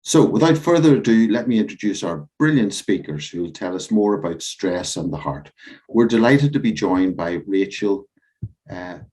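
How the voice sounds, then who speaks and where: Deep-voiced man hosting a webinar. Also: expressive, a little mellow. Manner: measured, with small slips and fillers. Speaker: host. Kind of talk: reading a scripted webinar introduction.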